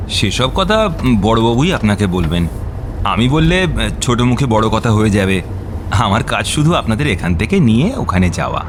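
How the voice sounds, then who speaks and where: An actor playing a middle-aged, deferential and discreet estate manager, polite and obliging, in a radio drama. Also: male voice, on the low side, very animated, normal speed, background noise. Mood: guarded, deferential. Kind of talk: radio audio drama acting.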